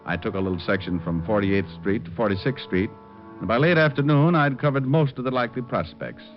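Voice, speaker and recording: A man's voice: fairly low pitch, fairly quick, muffled recording, natural voice. An American man playing a sardonic, cool-headed private detective in 1940s radio drama style, with dry, flippant delivery. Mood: wry narration. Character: wisecracking, cool-headed male private detective narrating his case. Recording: band-limited vintage radio recording with music.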